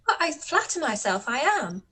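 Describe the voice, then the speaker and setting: A woman playing a spirited young gentlewoman in a period radio play, arch, composed and polite. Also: high-pitched, sing-song, moderate pace, heavily acted. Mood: wry, gracious. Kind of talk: radio play live read.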